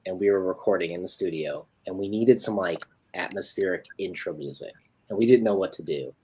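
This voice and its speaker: A woman's voice: extremely low pitch, brisk, dark tone, breathy edge, rough recording. A woman co-host on a video call telling an anecdote casually, with an informal, salty storytelling manner. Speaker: guest co-host on a live show.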